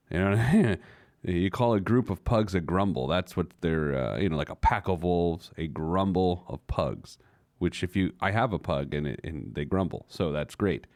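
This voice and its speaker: A man's voice: sits low, darkish tone, no room sound, clean studio sound. An American man in his late thirties hosting a casual radio show on his own, rambling along in a wry, conversational way. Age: late 30s. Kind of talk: casual radio monologue on personal finance.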